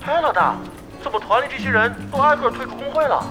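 A naive young gamer in an audio drama. The voice is fairly high and animated, eager to admire. Loud music sits behind it. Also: female voice, fast. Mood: puzzled, admiring. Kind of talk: Chinese audio drama acting.

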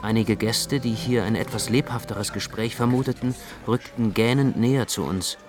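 A man reading literary first-person narration in a measured, carefully phrased way. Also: fairly low pitch, over music.

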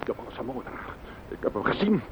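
A man's voice: fast, natural voice. An actor playing an agitated man close to collapse, stammering denials and accusations in a radio play. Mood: frantic disbelief. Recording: muffled old radio recording with hiss.

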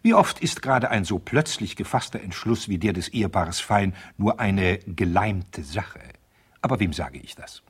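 An actor playing a stern, cynical male divorce lawyer in a radio play, speaking in a formal, pointed and condescending manner with a husky, rough voice. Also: sits low, animated, normal speed, full character voice. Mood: sardonic disapproval. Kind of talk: radio drama letter monologue.

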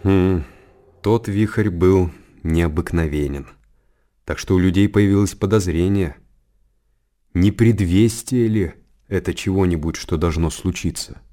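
A man's voice: low-pitched, measured pace, dark tone. An actor playing a pensive old man who hesitantly recalls the distant past in a radio drama.

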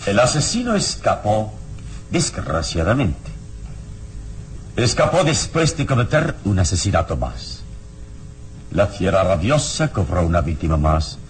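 An actor playing a serene, enigmatic and courteous male hero in a radio drama, speaking in a measured, formal, theatrical way on a noisy old recording. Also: low voice, sing-song, faint music behind, full character voice. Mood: grave.

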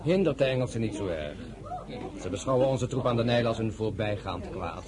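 A man's voice: fairly low pitch, animated, brisk, a crowd in the background, lightly acted. Actor as sardonic, forthright military man. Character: forthright, sceptical French general arguing heatedly. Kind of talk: radio drama acting.

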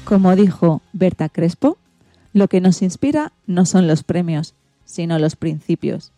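A woman's voice: fairly low pitch, unhurried, mellow, soft background music. A woman with a Spanish accent from Spain, hosting a radio program in a scripted presenting style.

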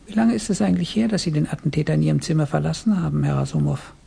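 An actor playing a courteous but coldly menacing Tsarist police chief in a German radio play, formal, probing and gravelly. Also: male voice, on the high side, very fast, warm tone, full character voice. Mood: quietly menacing.

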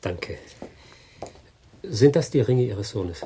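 A man playing a watchful, soft-spoken detective in his thirties questioning people in a radio drama. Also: medium pitch, expressive, slow pace, a little hiss or hum. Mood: probing, polite.

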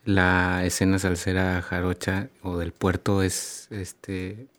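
A man co-hosting a radio show on salsa, speaking calmly with a few hesitant fillers. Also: low voice. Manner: calm, measured, hesitant fillers. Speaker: radio co-host and salsa researcher. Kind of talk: casual radio talk. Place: radio studio.

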